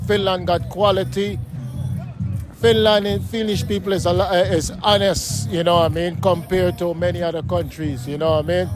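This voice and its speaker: Guyanese man, emphatic street talk. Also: sits high. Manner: emphatic, repetitive, keeps asking "you know what I mean?". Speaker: interviewee. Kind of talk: street interview, casual talk. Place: outdoors on a city street.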